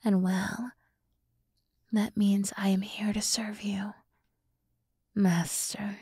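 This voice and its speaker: A woman playing a sultry, teasing demoness in an ASMR roleplay, speaking in a soft half-whisper with slow, condescendingly soothing deliberation, close to the microphone. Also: medium pitch, little pitch movement, husky, no room sound. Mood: smooth, courteous. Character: sultry, teasing demoness summoned to serve a human.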